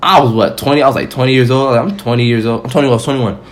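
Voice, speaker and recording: A man's voice: medium pitch, racing. A young man co-hosting a casual podcast, telling stories in profane, slangy talk with acted-out quotes. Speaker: podcast co-host. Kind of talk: casual chat and storytelling.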